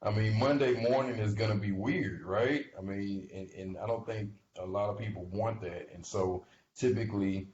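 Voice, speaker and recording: A man with a deep voice gives informal investment commentary, explaining things in a halting, conversational manner. He regularly checks in with short tag questions. The recording is dull and echoey, as if in a bare room. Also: fairly even intonation, darkish tone. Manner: halting, conversational, often checks in with "right?" and "okay?". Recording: muffled, noisy audio in echoey room.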